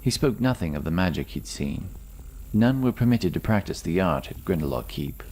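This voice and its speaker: A man narrating a fantasy story in a measured, dramatic scripted style. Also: low voice, big pitch swings, darkish tone, slight background noise. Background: music.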